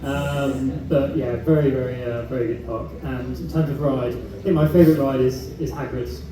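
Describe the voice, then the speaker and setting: A man giving a presentation and answering questions, halting and full of fillers. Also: mid-range voice, fairly even intonation. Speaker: park presenter. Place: large echoey venue. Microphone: far from the recording camera. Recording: echoey distant room recording with noise.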